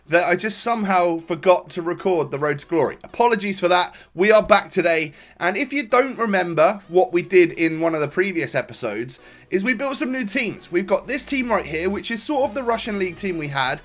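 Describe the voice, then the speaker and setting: A man's voice: sits high, a little fast. A young man with a stuffy, congested voice, giving rambling casual commentary over his own football gameplay. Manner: rambling, relaxed, frequent fillers and restarts. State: congested from a cold. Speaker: gaming YouTuber. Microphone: desk microphone.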